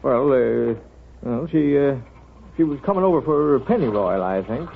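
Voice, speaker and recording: An actor playing a kindly, wry American small-town doctor in a 1930s radio drama, folksy, hesitant and unhurried. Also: male voice, medium pitch, expressive, vocal fry. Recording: noisy, tinny 1930s radio recording.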